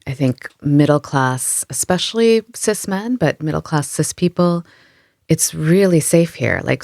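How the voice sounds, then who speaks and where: A woman who runs history tours in the city, answering interview questions in an animated way, thinking aloud with many fillers in the local language. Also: rumbling low, vocal fry, no echo, studio quality. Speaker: tour guide and storyteller. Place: Amsterdam.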